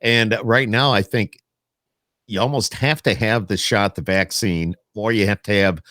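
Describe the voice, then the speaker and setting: A man's voice: on the low side, a little slow, sharp tone, no echo, studio quality. A man chatting casually as the host of a podcast interview.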